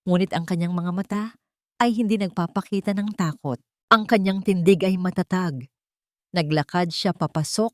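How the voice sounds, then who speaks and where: A woman's voice: lowish voice, moderate pace, no echo, studio quality. A woman reading a romantic melodrama as a dramatic scripted story narrator.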